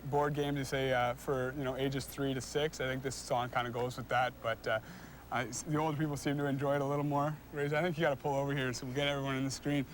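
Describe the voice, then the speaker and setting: A young man, a hockey player, giving casual, halting interview answers with repeated words and frequent filler phrases. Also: mid-range voice, flat delivery, a little hiss or hum, poor audio. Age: young adult.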